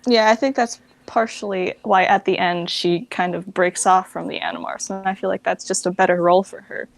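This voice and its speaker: A woman's voice: medium pitch, steady tone, a little fast, vocal fry, dry recording. A woman chatting casually as an interview guest. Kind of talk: casual chat.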